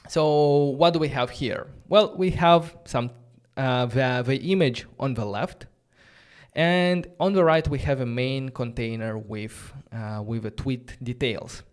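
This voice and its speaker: A man's voice: medium pitch, slow, smooth. A man whose first language is Romanian teaches a coding tutorial on a live stream, thinking aloud in a casual way with many fillers and restarts, and stops to answer viewers' chat questions. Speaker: coding instructor.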